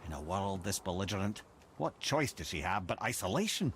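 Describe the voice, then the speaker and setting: A wry older Scottish sage, telling tales with lively, swinging intonation. His speech is eloquent, dramatic and old-fashioned, in the manner of a fantasy game companion, over clearly noisy boat and water ambience. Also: low-pitched, fairly quick, full character voice. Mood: grave storytelling. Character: wry, learned older male storyteller companion. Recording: engine-like ambient noise.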